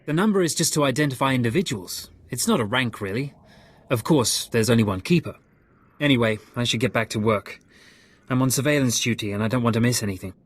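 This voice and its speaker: An actor playing a timid, socially awkward young intelligence watcher in a video game, hesitant and apologetic. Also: male voice, medium pitch, expressive, brisk, breathy edge. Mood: explaining.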